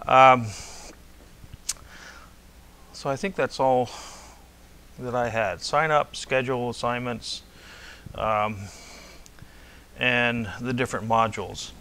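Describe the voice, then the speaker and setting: Older male lecturer, casual and digressive, bright forward tone, lapel mic in a big classroom. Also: mid-range voice, fairly even intonation, takes it slowly, long pauses, clean tone. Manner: conversational, rambling, checks in with "okay?". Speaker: university professor. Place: large lecture hall with blackboards.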